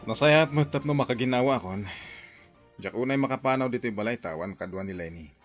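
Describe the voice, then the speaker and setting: A man voices a guarded uncle who is wary of trusting people. He speaks in an ordinary voice at a middle pitch, in a plain radio-drama style. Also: lively intonation, brisk, faint music behind, natural voice. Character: man, wary uncle caring for his niece. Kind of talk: Ilocano radio drama acting.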